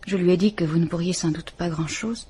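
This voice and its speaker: An actress playing a frightened but stubborn wife in a French radio drama. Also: female voice, lowish voice, a little fast, warm tone, slight background noise, lightly acted. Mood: subdued.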